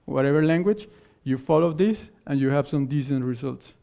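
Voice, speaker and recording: A man whose first language is not English, giving a technical conference talk and answering questions in halting phrases that he often restarts. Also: mid-range voice, gravelly, warm tone. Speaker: conference speaker. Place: conference hall stage. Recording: dull lo-fi conference recording.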